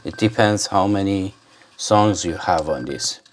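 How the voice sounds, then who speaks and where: A man's voice: low voice, lively intonation, measured pace, slight background noise. A man giving a casual hands-on product demonstration, rambling and muttering to himself as he goes. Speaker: gadget reviewer. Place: indoors at a desk.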